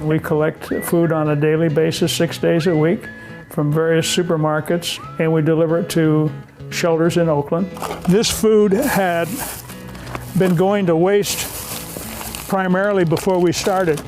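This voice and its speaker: A man's voice: a little high, steady tone, measured pace, rough recording. A man in his seventies, a retired volunteer, speaking in a documentary interview. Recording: music behind the voice. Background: guitar music.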